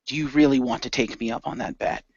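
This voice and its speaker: Guy doing a smug taunting sea god bad guy, medium pitch, dry audio, rp villain. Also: steady tone, quick pace, a little mellow, dry recording, lo-fi sound, lightly acted.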